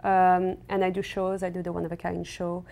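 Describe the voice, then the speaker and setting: A woman's voice: lowish voice, monotone, a little fast, gravelly, darkish tone. A woman with a French accent, raised in West Africa, answering interview questions hesitantly with many fillers. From raised in Senegal and Cameroon. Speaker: textile artist guest. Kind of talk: casual interview. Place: her studio.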